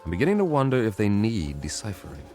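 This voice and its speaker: An actor playing a weary, earnest government codebreaker in a radio drama, talking in a wry, intent, conversational way. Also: male voice, lowish voice, wildly swooping pitch, fast talker, mellow, faint music behind. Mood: pensive.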